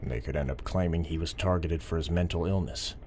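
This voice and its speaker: An actor playing a principled, stubborn police officer who speaks in a measured, firm and formal way in an audio drama. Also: male voice, a bass voice, a little mellow, a little hiss or hum. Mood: earnest, controlled.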